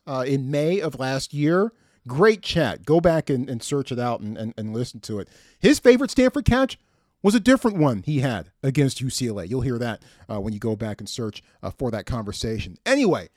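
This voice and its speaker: A man's voice: on the high side, darkish tone, dry recording, clean studio sound. A middle-aged man hosting a sports podcast, telling game stories with enthusiastic, emphatic, conversational commentary.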